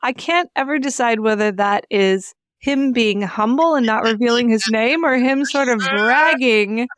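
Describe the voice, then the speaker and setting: A woman's voice: a little high, measured pace, fairly bright tone, no room sound, studio quality. A woman podcast co-host who moves between reading scripture and earnest, enthusiastic chat. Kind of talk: Bible reading and casual group chat.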